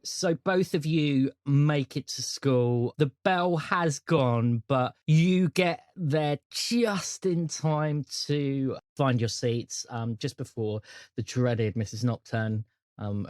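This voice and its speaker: A man's voice: mid-range voice, darkish tone, no echo, studio quality. A man with a British accent narrating a tabletop role-playing game as game master.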